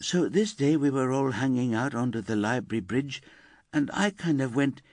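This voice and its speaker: Guy doing a whiny teen girl, sulky and indignant, pitched way up. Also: animated, normal speed, a little mellow, dry recording, studio quality, natural voice. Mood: resentful. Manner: whiny and indignant, rising to wailing. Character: sulky resentful teenage girl.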